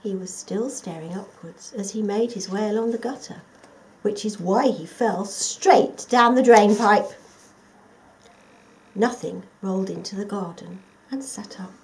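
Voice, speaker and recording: A woman reading a children's story aloud as a scripted narration, in an expressive, dramatic storytelling manner. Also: mid-range voice, a little slow, poor audio. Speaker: storybook reader.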